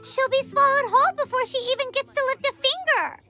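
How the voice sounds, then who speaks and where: A woman voicing a tiny, excitable childlike fairy companion in a video game, squeaky and indignant. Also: brisk. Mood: indignant, alarmed. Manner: exclamatory, sarcastic. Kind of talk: cartoonish video game voice acting. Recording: music under the voice.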